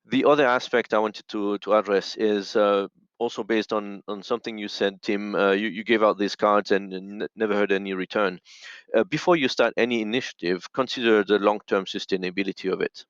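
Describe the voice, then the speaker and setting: A man speaking as a podcast guest, giving conversational advice in a halting, measured way over dull call audio. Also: lowish voice, steady tone, smooth, no echo. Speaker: podcast guest, radio expert.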